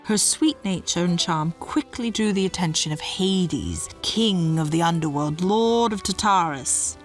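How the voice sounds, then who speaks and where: A woman giving a scripted storytelling narration in a measured, dramatic way. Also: lowish voice, expressive, faint music behind.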